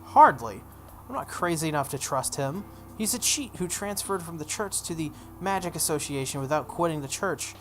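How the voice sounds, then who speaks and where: A playthrough host voicing a sharp-tongued, haughty teenage girl mage from a visual novel, brisk and scolding. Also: mid-range voice, expressive, noisy background, lightly acted. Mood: dismissive, annoyed.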